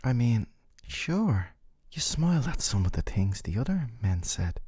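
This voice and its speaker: A man playing a gentle but possessive, smug dating-sim boyfriend in an ASMR roleplay, soft and coaxing, with articulate phrasing and teasing questions. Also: mid-range voice, big pitch swings, a little slow, husky, no room sound, clean studio sound. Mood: adoring, smug. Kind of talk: scripted ASMR roleplay monologue to the listener.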